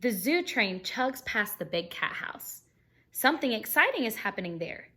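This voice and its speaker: Expressive woman teacher reading aloud to children. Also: on the high side, normal speed, a little hiss or hum. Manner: expressive, simple explanations addressed to young pupils. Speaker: elementary school teacher reading a picture book aloud. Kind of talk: children's read-aloud with questions to listeners.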